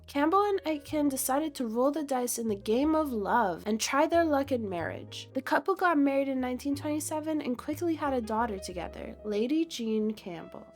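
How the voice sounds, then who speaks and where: A woman giving scripted documentary narration with wry, punning asides. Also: on the high side. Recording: faint music underneath.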